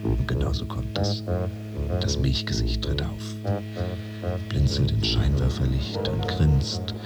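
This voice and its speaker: An actor playing a sardonic employee in a radio drama, narrating layoffs like theatre in a dramatic storytelling manner. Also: male voice, very deep voice, expressive, slow pace, over loud music, exaggerated voice. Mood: sardonic, ominous. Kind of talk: modern German radio drama monologue.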